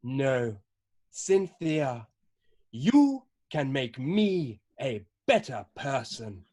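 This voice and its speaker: A man playing a caddish young lover in a stage play reading, melodramatic and at times halting. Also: mid-range voice, sing-song, mellow, exaggerated voice.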